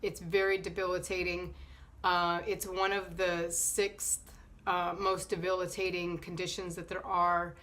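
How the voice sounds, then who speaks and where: Woman, thirties, nasal from a cold, low-ish voice, halting talk to camera, living room. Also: little pitch movement, fairly bright tone. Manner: hesitant, many fillers and restarts. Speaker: vlogger. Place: sunny living room indoors.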